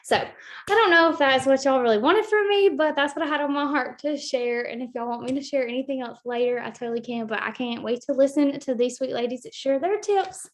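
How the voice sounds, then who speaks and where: A woman's voice: high-pitched, brisk. A woman, giving a casual, earnest motivational talk to her team on a video call and leaning heavily on "like.". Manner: casual, heavy "like" filler, earnest. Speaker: team leader.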